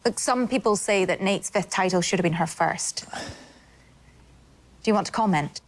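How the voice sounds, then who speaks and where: An actress playing a poised, probing TV motorsport interviewer with a polished presenting style. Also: female voice, medium pitch, unhurried, close to an everyday voice.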